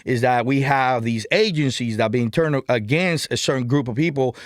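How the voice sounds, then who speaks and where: A middle-aged man from Cuba with a Cuban Spanish accent, telling stories in a podcast interview in an emphatic, animated way, repeating words and calling the host "man" and "brother.". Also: mid-range voice, moderate pace, no room sound, clean studio sound. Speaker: interview guest.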